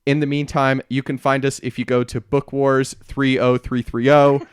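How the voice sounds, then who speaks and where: A man's voice: mid-range voice, flat delivery, moderate pace, clean tone, dry recording, clean studio sound. A man hosting a casual book discussion podcast. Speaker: podcast host.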